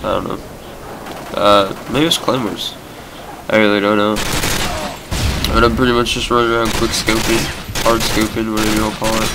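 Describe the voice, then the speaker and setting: Sick young man with a flat, nasal voice. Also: on the low side, normal speed, pauses often. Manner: rambling, filler-heavy. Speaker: gameplay commentator. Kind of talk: casual gameplay commentary. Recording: background game gunfire noise.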